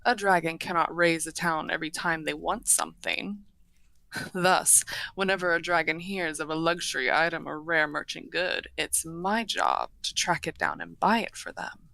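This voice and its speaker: A woman playing a courteous, earnest half-dragon broker in a fantasy audio roleplay, speaking formally and with measured eloquence. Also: deep voice, fairly even intonation, creaky, bright, forceful tone, no room sound, exaggerated voice. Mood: wry, businesslike. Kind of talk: scripted fantasy audio roleplay, addressing the listener.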